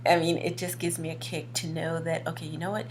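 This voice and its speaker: A woman instructor with a slightly hoarse voice gives an informal promotional talk, conversational and self-correcting, repeating words for emphasis. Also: deep voice, flat delivery, fairly quick, slight background noise. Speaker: fashion course instructor.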